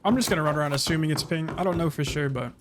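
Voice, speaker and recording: Chill streamer guy, talks super calm and flat-ish, not much emotion, just chatting while playing. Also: racing, music in the background. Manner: calm, low-key, little emotion in the voice. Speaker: streamer playing a shooter game. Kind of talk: casual gameplay commentary.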